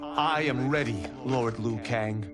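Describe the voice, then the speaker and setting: An actor playing an eager, cocky young martial arts student in a video game. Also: male voice, mid-range voice, slow pace, music in the background, close to an everyday voice. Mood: eager, incredulous. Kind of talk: video game cutscene acting.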